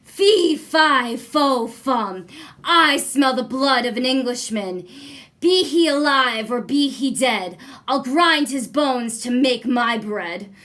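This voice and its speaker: A menacing, hungry giant from a children's storybook, acted out by a woman. The voice is loud and forceful, lifted high, with slow, commanding chants meant to threaten. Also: animated, full character voice. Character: huge hungry menacing giant man, booming, gruff.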